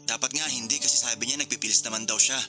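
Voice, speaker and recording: A man's voice: mid-range voice, fairly even intonation, quick pace, music in the background, natural voice. A man playing a young engaged man in a radio drama, bantering yet hesitant and conflicted. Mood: regretful, resigned.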